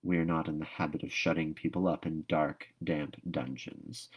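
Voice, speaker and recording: A man voicing a stern but fair middle-aged woman in a storybook, with a dry, measured, admonishing manner. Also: extremely low pitch, flat delivery, breathy edge, voice pushed down. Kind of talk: storybook read-aloud character voice.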